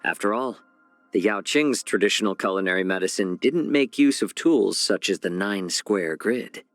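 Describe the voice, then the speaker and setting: Game role: genial fox-man chef and physician, smooth, slightly low, wry and measured, dry close sound. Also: no room sound, faint music behind. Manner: measured, wry, gently teasing. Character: genial, sly fox-man healer and chef, soft-spoken advisor. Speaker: video game character. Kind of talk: scripted game character voice lines.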